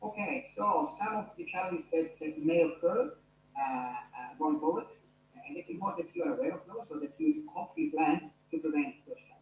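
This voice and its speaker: A man's voice: on the high side, brisk. Italian-accented male lecturer, earnest, lots of uh and restarts, echoey room, faint hum. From Italy. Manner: earnest, many fillers and restarts. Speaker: university lecturer. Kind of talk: online class lecture.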